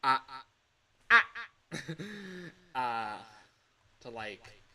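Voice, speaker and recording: A man's voice: mid-range voice, big pitch swings, drawn-out words, bright, forceful tone. A man livestreaming in a casual, rambling way, sounding exasperated and self-mocking, and swearing freely. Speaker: livestreamer recording voice lines.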